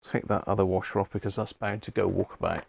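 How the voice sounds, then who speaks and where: A man's voice: deep voice, steady tone, fast, creaky, a little mellow, husky, no echo. A man giving casual, unscripted live commentary to himself as he works on a hobby repair stream. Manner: unscripted, muttering to himself while working.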